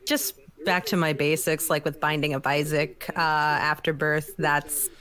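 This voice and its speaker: A woman's voice: low-pitched, level tone, measured pace, fairly bright tone. A woman chatting casually as a guest, talking like a gamer among friends. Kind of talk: casual chat about gaming.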